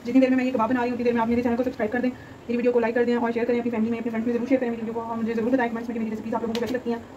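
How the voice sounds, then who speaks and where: A woman's voice: on the high side, completely flat delivery, rapid-fire, mellow, muffled recording, a little hiss or hum. A young woman hosting a home cooking channel, explaining a recipe step by step in a chatty, homely way with many fillers. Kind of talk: casual cooking tutorial voice-over. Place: home kitchen.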